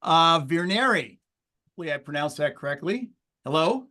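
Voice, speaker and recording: A man's voice: on the high side, lively intonation, moderate pace, bright, forceful tone. A man hosting an online meeting, greeting people in a friendly, chatty way over a video call. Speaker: meeting host. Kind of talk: casual online meeting chat.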